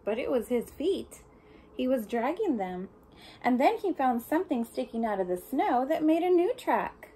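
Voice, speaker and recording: A woman's voice: high-pitched, very animated, moderate pace, dark tone, slight background noise, rough recording. A woman reading a picture book aloud to young children, speaking expressively and putting questions and asides to the listeners. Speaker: storybook reader for young children.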